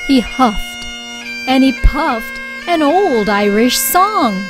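A woman narrates a children's storybook in a high voice. She goes slowly and deliberately, phrasing each line expressively, with music playing behind her. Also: very animated. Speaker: storybook reader. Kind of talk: children's read-aloud narration.

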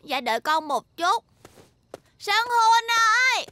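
Very high-pitched, spirited little schoolgirl. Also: takes it slowly, slight background noise, exaggerated voice. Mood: hesitant, eager. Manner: expressive, childlike delivery. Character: spirited little schoolgirl, quick-witted and changeable. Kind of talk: animated cartoon dub.

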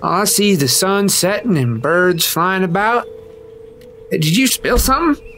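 An actor playing a cocky, teasing young boyfriend in an audio drama, casual and dismissive. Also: male voice, high-pitched, expressive, normal speed, a bit bright, a little hiss or hum.

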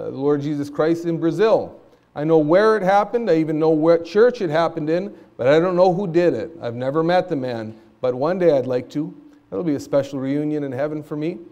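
A middle-aged man who grew up speaking Spanish gives an earnest missions talk from a church pulpit. His tone is mellow and warm. He talks in a conversational way and climbs higher when he presses a point. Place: church sanctuary stage at a pulpit.

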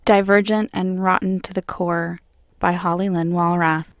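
A woman poet reading her own poems in a measured, solemn way. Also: on the low side, little pitch movement. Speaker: guest poet. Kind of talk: poetry reading.

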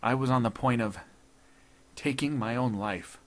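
A man narrating a story plays an older judge, proud and scheming. His speech is formal, deliberate and earnest, with a grave, desperate edge to it. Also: medium pitch, pitched above the performer's own voice, natural voice.

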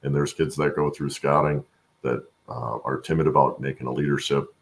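A middle-aged man with a gravelly voice, talking haltingly and earnestly as a guest on a video-call interview. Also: rumbling low, level tone, slight background noise. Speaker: guest, supply chain expert and voice-over announcer. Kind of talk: conversational interview. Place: room at home, indoors.

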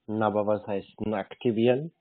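A man's voice: fairly low pitch, fairly even intonation, brisk, dry recording. A man talks casually over a thin phone line with a dry sound. He is laconic and noncommittal, coming across as clueless in short, offhand replies. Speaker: call recipient stringing a telemarketer along. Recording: narrow, muffled phone line.

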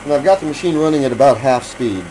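A man giving a casual, unhurried hands-on demonstration, explaining each step as he works. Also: medium pitch, expressive, dark tone. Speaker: hobbyist demonstrator, host. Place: indoor hobby room. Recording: noisy room, machine noise.

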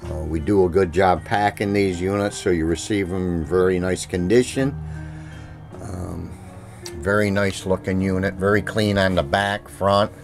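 A man's voice: deep voice, fairly even intonation, measured pace, faint music behind. A middle-aged man giving a casual, plainspoken product demo with repetitive sales patter, recorded on a handheld camera indoors. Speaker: seller demonstrating used audio gear. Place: indoor room at home, music playing behind. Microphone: handheld camera mic, speaker behind camera.

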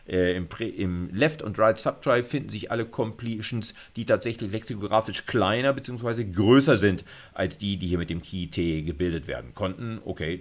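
Low-voiced middle-aged man lecturing. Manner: step-by-step explaining, frequent check-in words and English technical terms. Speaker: university computer science lecturer. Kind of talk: explanatory lecture with informal asides. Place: indoors, recorded video lecture.

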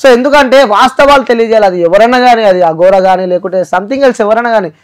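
A man's voice: high-pitched, fast talker, clear, resonant tone. A man with a Telangana accent hosting an interview, explaining emphatically and repetitively with English words mixed in. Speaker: interview host.

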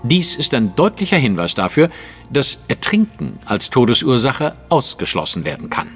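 A man playing a cool, sceptical Victorian detective in an audio drama, speaking precisely and formally with a husky, condescending tone. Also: medium pitch, very animated, moderate pace, big-room sound, soft background music. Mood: superior, assured. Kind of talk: theatrical German audio drama acting.

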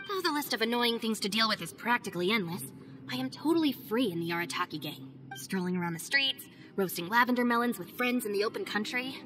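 A woman's voice: a little high, lively intonation, fast, soft background music. A wry young ninja girl. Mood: confiding. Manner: wry, candid, quietly confiding. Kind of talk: game cutscene voice acting.

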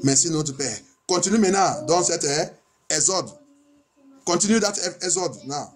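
Male pastor, higher-pitched voice, declamatory and repetitive, delivering insistent prayer and sermon. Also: expressive, moderate pace. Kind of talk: bilingual sermon and fervent prayer.

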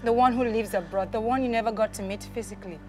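A woman's voice: fairly high pitch, quick pace, music in the background, lightly acted. A woman playing a pregnant wife who reproaches her husband. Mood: firm. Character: wronged pregnant young wife, firm.

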